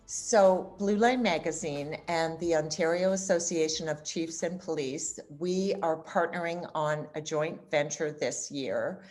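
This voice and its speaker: A woman's voice: low voice, a little mellow. A woman, a sales manager speaking as an interview guest in a conversational presenting style.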